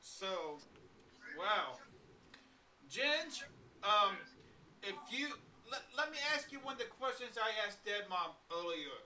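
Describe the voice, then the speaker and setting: A man in his forties hosts a loose live-stream chat with a high, very bright and forceful voice. He rambles through fillers and frequent chuckles. He sits back from the mic, with faint background noise behind him. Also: sing-song, takes it slowly, long pauses, far from the mic. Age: 40s. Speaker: podcast host.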